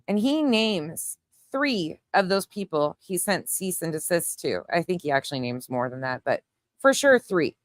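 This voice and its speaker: Low-pitched woman giving pointed online commentary. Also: no room sound. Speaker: commentary show host. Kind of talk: opinionated online case commentary.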